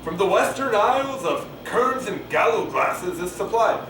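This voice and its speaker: Man acting a high-pitched declaiming wounded soldier. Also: very animated, unhurried, a bit bright, full character voice. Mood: declamatory, dramatic. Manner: declamatory, dramatic. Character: bloodied soldier grandly reporting a battle. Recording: echoey, noisy camcorder audio from far off.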